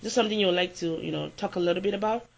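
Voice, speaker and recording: A podcast host talks casually and rambles, full of ums and restarts. The voice sits at middle pitch. The audio is dull and lo-fi. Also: very fast, creaky, background noise. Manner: rambling, filler-heavy, many restarts. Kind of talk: casual interview chat.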